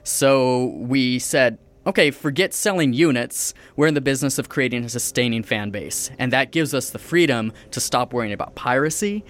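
An American man in his forties, talking as an indie film producer guest. He speaks at a middle pitch and in quick, energetic bursts, restarting his phrases as he goes. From United States. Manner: quick, energetic storytelling with repeats. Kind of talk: casual interview chat.

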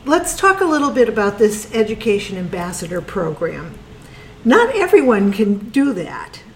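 A woman's voice: mid-range voice, big pitch swings, moderate pace, a little hiss or hum. A woman hosting an interview, enthusiastic as she introduces guests and asks questions. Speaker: host.

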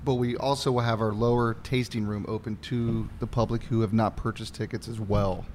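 A man's voice: fairly low pitch, flat delivery, fairly quick, darkish tone, slight background noise. A man who is losing his voice, chatting in an easygoing way as a podcast guest. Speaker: brewery staff guest. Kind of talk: casual chat.

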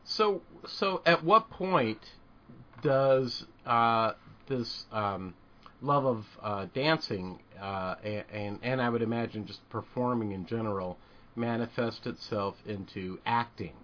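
A man co-hosting a podcast, agreeable and halting with fillers. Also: mid-range voice, highs cut off. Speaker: podcast co-host. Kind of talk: casual podcast interview.

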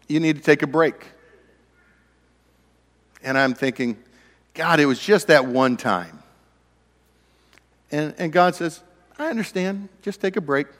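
A man preaching a sermon, conversational and self-deprecating, with a naturally loud voice. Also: on the high side, big-room sound. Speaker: pastor.

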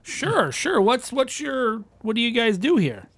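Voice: medium pitch, big pitch swings, a little slow, a bit bright, breathy edge, no echo. A player in a tabletop game, asking blunt, short questions. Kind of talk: casual in-character play.

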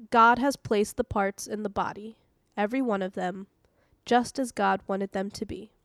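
A woman hosting a podcast, reading an audio devotional in a calm, measured, earnest way. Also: mid-range voice, no echo, clean studio sound. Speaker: devotional podcast host and reader.

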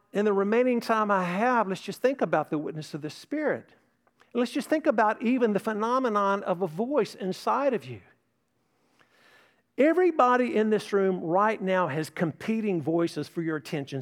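An older male pastor delivers a sermon in a fairly high voice. He preaches earnestly and emphatically, stacking repeated words as he presses each point on his congregation. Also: fairly bright tone. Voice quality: mentions tinnitus, no vocal trait.

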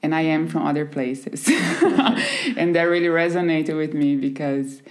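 A Brazilian woman in her thirties speaks English with a Brazilian Portuguese accent, her voice pitched low. She tells her story easily and breaks into soft laughs now and then. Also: sing-song, measured pace. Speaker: podcast guest. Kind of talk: casual conversation.

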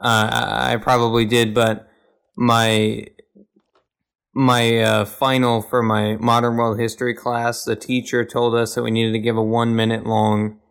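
A young man from Arkansas talking in a flat, deadpan monotone, telling a story in a casual podcast chat. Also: mid-range voice, unhurried, gravelly. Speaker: podcast co-host.

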